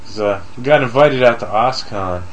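Casual male podcast co-host, middling pitch. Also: moderate pace, a bit bright. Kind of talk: casual group chat.

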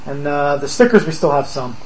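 Over faint ambient noise, a man with a fairly high voice hosts a casual podcast chat. He sounds hoarse, as if he has been talking for days. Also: animated, fairly quick, low-quality recording. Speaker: podcast host.

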